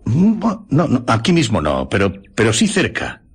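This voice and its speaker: An actor playing a chatty, curious sailor who gossips in an amused, halting way in a radio drama. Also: male voice, medium pitch, wildly swooping pitch, creaky, husky, full character voice.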